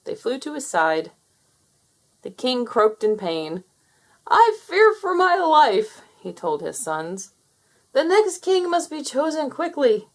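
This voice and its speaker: A woman reading a children's picture book aloud in an expressive storytelling manner. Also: fairly high pitch, takes it slowly. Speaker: storybook reader. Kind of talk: children's read-aloud narration.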